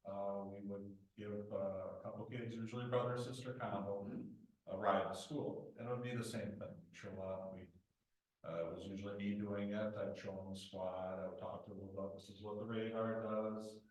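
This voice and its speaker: Loud-voiced marshal, far off in an echoey room. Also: male voice, on the low side, fairly even intonation. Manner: plain, halting, many uh fillers. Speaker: village marshal. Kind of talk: report at a committee meeting. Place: village committee meeting room.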